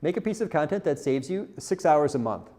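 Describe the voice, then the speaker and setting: Lively-pitched man giving an informal talk. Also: brisk. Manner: candid, self-deprecating, asks the audience questions. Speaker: conference speaker, content marketer. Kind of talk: informal marketing presentation to an audience.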